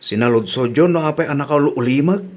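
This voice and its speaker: Man acting higher-voiced Ilocano son. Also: fast talker, a little mellow, close to an everyday voice. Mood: concerned. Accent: Filipino (Ilocano). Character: concerned grown son, casual and teasing. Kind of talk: radio drama acting.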